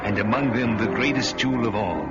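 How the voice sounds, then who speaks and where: An actor playing a worldly, wary thief, companion to a barbarian hero, with dramatic, ominous, archaic phrasing in a fantasy radio drama. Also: male voice, lowish voice, fairly quick, close to an everyday voice. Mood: awed, warning. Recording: dull radio recording with loud music.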